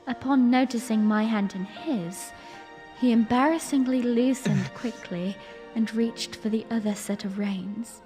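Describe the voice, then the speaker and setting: Woman voicing young princess, tender, playful, genteel, fairly high, unhurried storytelling, music underneath. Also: dark tone, close to an everyday voice. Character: young princess, playful, romantic, gently regal. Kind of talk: first-person storytelling and fan audio drama acting.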